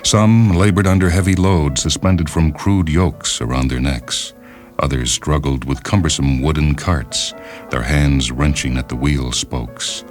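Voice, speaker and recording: A man reading a literary short story as a radio host, in a measured, deliberate and formal manner, on a 1970s radio recording. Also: extremely low pitch. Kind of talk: scripted literary narration. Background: music bed behind the reading.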